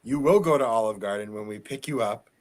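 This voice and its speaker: A man's voice: lowish voice, quick pace. A man from Boston with a Boston accent, bantering and teasing in a casual livestream chat.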